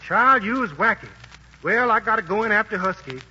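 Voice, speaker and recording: A jittery, urgent sidekick. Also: male voice, high voice, lively intonation, a little fast, pushed and bright, slight background noise, full character voice. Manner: urgent, colloquial, warning. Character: nervous, fast-talking male sidekick. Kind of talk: 1940s radio drama acting. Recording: muffled 1940s radio broadcast.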